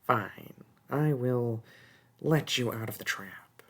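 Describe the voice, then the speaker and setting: A performer voicing a small, sneaky, mocking goblin thief in a fantasy audio roleplay, taunting and haggling in a husky, breathy voice that often drops to a whisper. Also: medium pitch. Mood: condescending, threatening. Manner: taunting, haggling, drawn-out wheedling.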